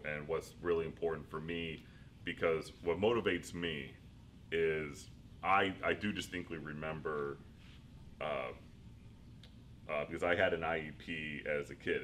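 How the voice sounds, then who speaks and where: Casting: middle-aged male administrator, very low voice, loose rambling answers, light hiss behind. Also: fairly bright tone. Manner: rambling, self-deprecating, laughs often. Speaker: school district superintendent. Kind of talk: interview answers.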